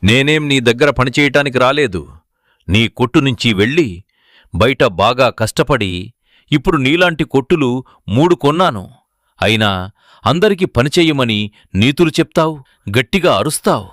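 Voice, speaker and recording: A man playing a proud, taunting former shop worker in an animated family story. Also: mid-range voice, moderate pace, long pauses, no room sound, studio quality, lightly acted. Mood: indignant. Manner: taunting, indignant. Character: proud, defiant former shop worker turned rival owner.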